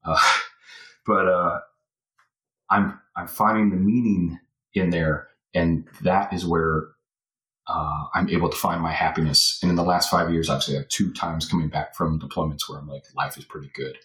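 A man with a low voice talks through a podcast interview in loose, rambling fashion, full of fillers and restarts. The sound carries a noticeable echo from the room. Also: fairly even intonation, moderate pace, fairly bright tone. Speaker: podcast guest. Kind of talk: casual interview talk.

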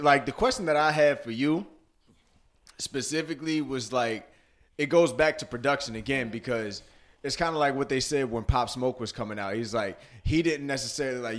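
A man's voice: medium pitch, fairly bright tone. A man co-hosting a podcast, chatting casually in slang and repeating phrases for emphasis. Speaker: podcast co-host.

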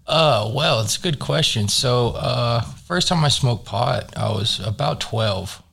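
A man in his thirties who grew up in North Carolina, a guest in recovery talking in a relaxed, halting way with many fillers. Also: mid-range voice, vocal fry, breathy edge. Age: 30s. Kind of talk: casual interview. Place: studio.

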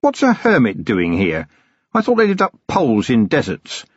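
A man's voice: medium pitch, moderate pace, slightly rough, no echo, pitched above the performer's own voice. A man voicing a blunt, wry police commander who speaks dryly and skeptically. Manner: dry, deadpan, skeptical. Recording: dull, dry, lo-fi recording.

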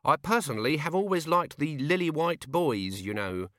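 A man's voice: on the high side, expressive, normal speed, fairly bright tone, no echo, clean studio sound, voice pushed up. A man doing a stammering nervous old wizard. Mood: flustered. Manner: hesitant, stammering, quavering. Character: flustered nervous older male wizard scholar.

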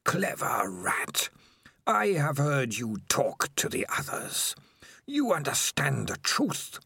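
A man voicing a sinister, hidden giant rat who speaks in a slow, hissing whisper. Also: mid-range voice, huge pitch swings, vocal fry, bright, forceful tone, slightly rough, no echo, pitched above the performer's own voice, exaggerated voice. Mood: menacing. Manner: slow, drawn-out, coaxing.

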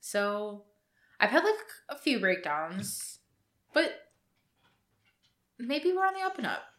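A woman co-hosting a podcast in casual chat, talkative and quick to laugh, with casual swearing. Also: mid-range voice, big pitch swings, fairly bright tone. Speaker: podcast co-host.

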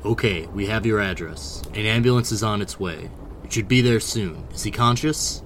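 A man plays an emergency phone operator in a radio drama. He speaks in a low voice, calm and businesslike, with faint noise behind him. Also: normal speed.

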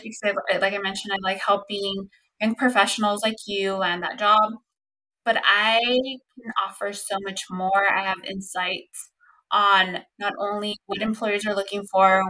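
A young woman hosting her own podcast, talking casually and earnestly with lots of "um" and "like," with a slightly squeaky voice. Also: bright, forceful tone, rough recording. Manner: chatty, earnest, lots of fillers like "um" and "like". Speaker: podcast host. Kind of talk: casual solo podcast talk.

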